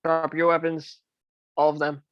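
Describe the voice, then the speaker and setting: A cool, sceptical villain's sidekick read by a man at a table read, understated and matter-of-fact, pitched a little high. The recording is dull and dry. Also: completely flat delivery, a little slow, a bit bright, clean tone, no room sound. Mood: commanding. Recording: dull, dry lo-fi call audio.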